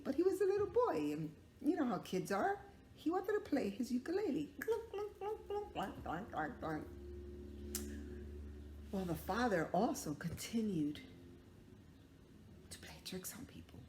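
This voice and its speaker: A woman's voice: medium pitch, darkish tone. A woman telling a story to young children like a teacher, encouraging them with questions and acting out little sound effects. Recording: roomy echo, clear background noise.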